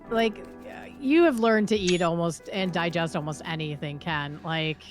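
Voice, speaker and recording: A woman acts as a wry, teasing friend with a slightly low voice. She talks casually in an improvised online roleplay, with faint music in the background. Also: normal speed, natural voice.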